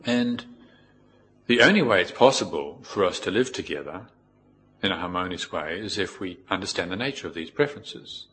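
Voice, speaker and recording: A middle-aged man with a New Zealand accent giving an informal, reflective Buddhist talk in a measured way, with pauses. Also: sits low, expressive, a bit bright. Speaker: Buddhist monk, teacher. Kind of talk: informal spoken dharma talk with personal anecdotes.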